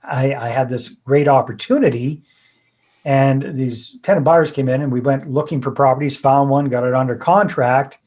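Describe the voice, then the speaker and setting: A man's voice: medium pitch, moderate pace, a little mellow. A middle-aged Canadian man from British Columbia, a podcast guest telling his story in a chatty, self-deprecating way and laughing easily. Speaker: podcast guest, real estate investor. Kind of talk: casual storytelling interview.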